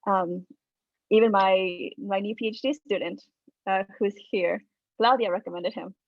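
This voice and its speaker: A woman from Mexico in her thirties, a statistics professor giving a relaxed academic talk over a video call, with frequent ums and uhs. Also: mid-range voice, measured pace, no room sound. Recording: dry, phone-like video call audio.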